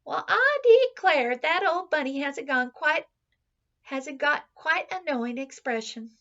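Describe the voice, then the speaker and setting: A woman's voice: sits high, lively intonation, a little slow, a bit bright, lo-fi sound, voice pushed up, full character voice. Woman reading as a fussy, grumbling storybook nanny. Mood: surprised. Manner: scolding, exclamatory.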